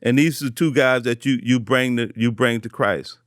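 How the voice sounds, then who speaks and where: A man's voice: mid-range voice, moderate pace, dry recording, clean studio sound. A male podcast host prompting his guest with brief questions and backchannels. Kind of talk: interview questions.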